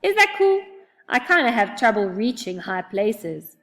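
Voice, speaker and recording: A woman's voice: on the high side, very animated, measured pace, a bit bright, in a large hall, full character voice. Woman doing a laid-back little fairy boy. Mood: casual, sheepish. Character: wistful, laid-back young boy fairy with small wing.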